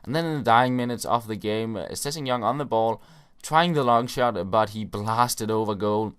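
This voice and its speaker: A man's voice: on the low side, moderate pace, smooth. A man hosting a gaming video, giving casual play-by-play commentary on his own matches with frequent filler words.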